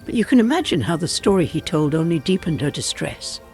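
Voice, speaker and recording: A man's voice: a little high, big pitch swings, brisk, darkish tone. A man reading a scripted folk tale as a dramatic storyteller. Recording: music bed behind the voice. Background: music.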